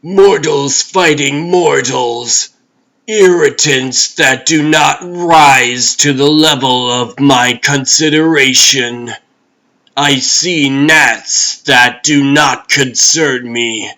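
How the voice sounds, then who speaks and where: Imperious comic-book tyrant god played by a man, very slow delivery, forceful edge, cold and pompous. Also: mid-range voice, exaggerated voice, rough recording. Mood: disdainful. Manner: pompous, commanding, deliberate. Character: imperious male god emperor, cold, haughty, brooding tyrant. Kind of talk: comic book dub, dramatic voice acting.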